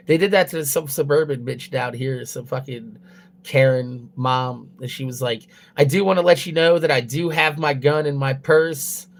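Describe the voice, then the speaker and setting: A young man streaming from his phone, rambling loosely and swearing heavily as he chats with viewers. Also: mid-range voice. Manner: loose, rambling, heavy swearing, laughing at own jokes. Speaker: livestreamer. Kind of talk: casual profane stream chatter. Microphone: mobile phone.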